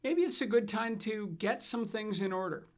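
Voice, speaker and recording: A middle-aged man with a somewhat raised voice hosts a financial chat. He tells his stories in a relaxed way and restarts his sentences as he goes. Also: sits high, moderate pace. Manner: relaxed storytelling with fillers and restarts. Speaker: financial advisor and show host. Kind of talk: casual scripted chat.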